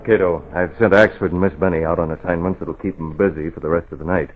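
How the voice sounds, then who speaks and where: Low-pitched, commanding masked hero played by a man, brisk 1940s radio drama delivery, tinny old recording. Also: steady tone, lightly acted. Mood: businesslike, determined. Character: commanding male crime-fighting publisher hero, secretly masked. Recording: noisy, narrow-band vintage radio recording.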